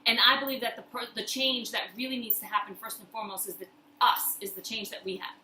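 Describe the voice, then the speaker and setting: A woman's voice: on the high side, quick pace, bright, forceful tone. A woman hosting a motivational personal-growth video, speaking to the viewer emphatically and repeating phrases to drive points home. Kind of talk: motivational talk to camera. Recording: roomy echo, faint background noise.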